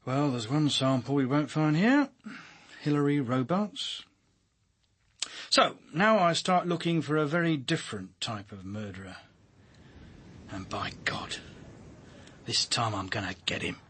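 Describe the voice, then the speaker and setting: An actor in a radio drama playing a world-weary, driven senior detective with a dry, blunt, commanding manner. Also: male voice, mid-range voice, animated, measured pace, long pauses, fairly bright tone, slightly rough. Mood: determined, brisk.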